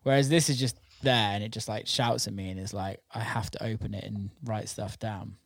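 A man's voice: fairly low pitch, steady tone, fairly quick, vocal fry, breathy edge, no echo, clean studio sound. A man hosting a casual podcast chat, talking in a matey, informal way with plenty of swearing. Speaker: interviewer and host.

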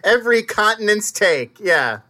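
A man co-hosts a casual comedy podcast in a bright, clear voice. His pitch swings a lot, jumping up for emphasis as he jokes along. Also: very animated. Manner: goes high for emphasis, quick jokes. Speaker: podcast co-host, news reader.

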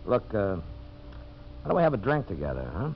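An actor playing a sly, coaxing rival timber employer in a 1950s radio drama. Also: male voice, fairly low pitch, huge pitch swings, a little fast, a crowd in the background, full character voice. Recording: muffled 1950s radio broadcast, clear background noise.

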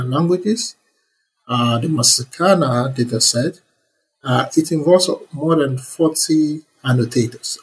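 A young man answers interview questions with earnest enthusiasm. His voice is very husky and breathy, and his pitch sits mid-range. He fills his answers with uh and um as he talks. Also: low-quality recording. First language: Yoruba. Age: young adult. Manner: earnest and enthusiastic, many fillers. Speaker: interview guest, computer scientist. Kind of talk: relaxed conversational interview answers.

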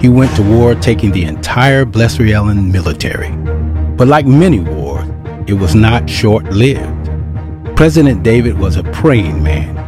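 Narrator, man, low voice, wry moral storytelling over music. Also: animated, a little slow.

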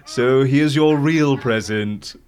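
An actor playing an excitable, sentimental, easily flustered man in an audio comedy drama. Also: medium pitch, takes it slowly, lightly acted. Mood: merry.

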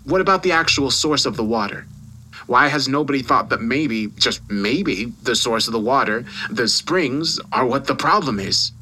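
A man acting as a domineering newspaper boss in an audio drama. He is forceful and condescending, with a bright, hard-edged delivery that mocks and bullies his employee. Faint noise sits behind the voice. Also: mid-range voice, animated, moderate pace, pitched above the performer's own voice. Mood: intense, persuasive. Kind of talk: dramatised audio story dialogue.

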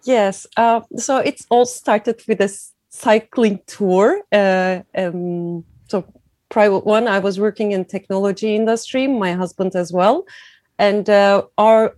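A woman from Turkey with a Turkish accent, answering interview questions in halting non-native English, searching for words. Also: medium pitch, darkish tone, no room sound. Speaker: podcast guest, cycling industry professional.

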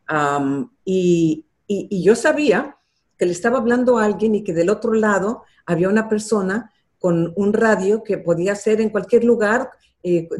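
A woman's voice: mid-range voice, darkish tone. An older woman, a journalist being interviewed, telling her career story in an earnest, reflective way with some fillers. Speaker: interview guest, television journalist.

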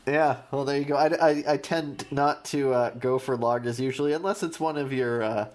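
A man co-hosting a casual podcast. He speaks in a measured, dry way, often stumbling and restarting. His voice sits in a middle pitch. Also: a little mellow. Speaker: podcast co-host.

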